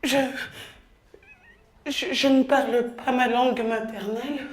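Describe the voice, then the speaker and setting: A woman performs a poetic monologue on a theatre stage, her voice sitting high. She speaks slowly, in an incantatory way. She moves from hushed whispers to loud declamation. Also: steady tone.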